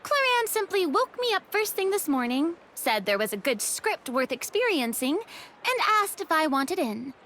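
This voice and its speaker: A woman voicing a playful, theatrical young lady in a video game, expressive, breezy and frank. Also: high voice, normal speed, slight background noise. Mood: breezy, candid. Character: theatrical, candid young female former superior, playful. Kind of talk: video game character dialogue. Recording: music playing behind the voice.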